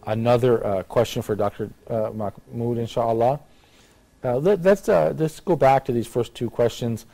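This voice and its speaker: A man's voice: medium pitch, normal speed, gravelly, mellow. An American man hosting a talk show in a casual, conversational style. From United States. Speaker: live talk show host.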